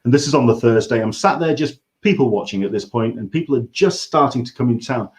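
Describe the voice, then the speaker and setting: A man's voice: mid-range voice, animated, quick pace. Rambling English man hosting a chat. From England. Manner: rambling, anecdotal. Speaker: host interviewer. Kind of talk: casual livestream interview chat.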